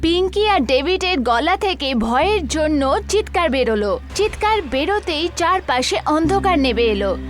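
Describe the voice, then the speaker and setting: Very high-pitched woman story narrator. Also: sing-song, normal speed, few pauses, soft background music. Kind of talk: scripted horror story narration.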